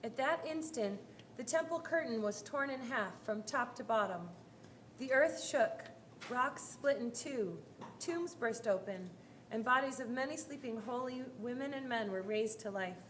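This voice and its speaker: High-voiced woman narrating in a roomy hall. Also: animated, unhurried, a bit bright, a little hiss or hum. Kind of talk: dramatic scripted Bible narration. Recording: roomy live hall, faint music.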